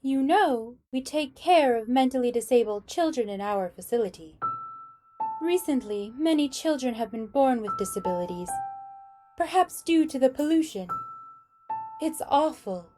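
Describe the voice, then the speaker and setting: A woman's voice: sits high, animated, normal speed, dark tone, faint music behind. An actress playing a gracious, sociable woman who manages a care home in a radio drama, polite and effusive. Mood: polite, concerned.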